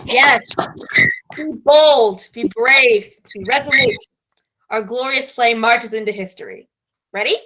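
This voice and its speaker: Woman acting a pompous, high-pitched, bright-voiced genius director. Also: a little slow, poor audio. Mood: grandiose. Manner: grandiose, imperious, theatrical. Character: pompous, domineering female radio playwright-director, self-declared genius. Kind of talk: theatrical comedy acting.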